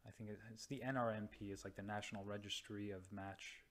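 A young man from North America, a radiology resident, explaining slides slowly with many pauses and restarts. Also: on the low side, fairly even intonation, fairly bright tone. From United States or Canada. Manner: slow, hesitant, many ums and restarts. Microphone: voice-over to slides.

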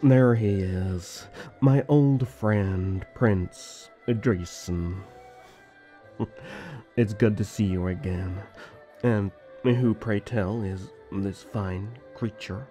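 Man acting as sly rival elf prince, fantasy audio drama, haughty, teasing, dark low drawl, music behind. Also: extremely slow. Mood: smug, curious. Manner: drawling, condescending, theatrical.

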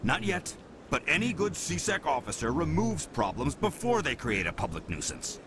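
A man's voice: fairly high pitch, sing-song, normal speed, noisy background. A man playing an officious, exasperated security officer in a video game, with a stern manner.

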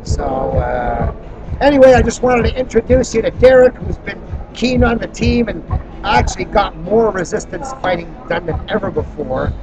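A man hosting an informal on-the-spot interview, telling stories in an animated way and acting out quoted dialogue. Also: sits high, moderate pace, poor audio. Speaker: interviewer and activist introducing a guest. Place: busy expo venue. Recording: music behind the voice. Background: music.

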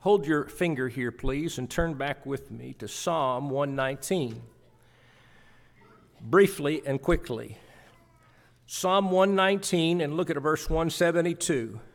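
A man's voice: on the high side, takes it slowly, creaky. A middle-aged man preaching a sermon from scripture at a church pulpit, emphatic, repeating phrases for weight. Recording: reverberant church hall sound.

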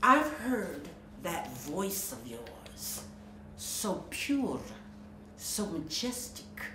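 A woman voicing an evil old female sea witch who croaks and rasps her slow, menacing lines. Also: on the low side, sing-song, background noise, pitched below the performer's own voice, heavily acted. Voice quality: raspy, croaking. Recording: roomy echo.

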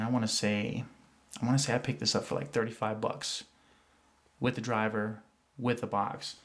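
A man with a cold, casually reviewing a toy vehicle off camera, rambling with many fillers and restarts. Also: lowish voice. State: congested from a cold.

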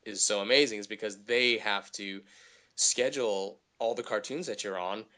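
A man, a voice actor, chatting casually in an interview, telling animated stories about his work. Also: on the low side, moderate pace. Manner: energetic, animated storyteller who slips into character voices. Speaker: voice actor guest.

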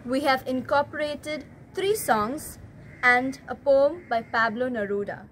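A woman's voice: high-pitched, measured pace, fairly bright tone, a little hiss or hum. A young woman with an Indian English accent gives a prepared introduction as presenter, recorded outdoors. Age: young adult.

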